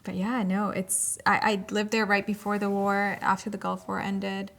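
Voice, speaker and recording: Creaky-voiced woman chatting casually. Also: medium pitch, flat delivery, moderate pace, a bit bright. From born in Iraq, grew up in England and Canada. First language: Arabic. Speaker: podcast guest. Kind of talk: casual chat.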